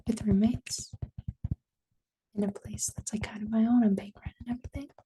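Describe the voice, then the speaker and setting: A woman's voice: mid-range voice, fairly even intonation, normal speed, noisy background. A young adult woman with a Canadian accent whispering casually in a rambling, apologetic ASMR style, close to the microphone. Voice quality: breathy whisper. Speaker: ASMR video creator.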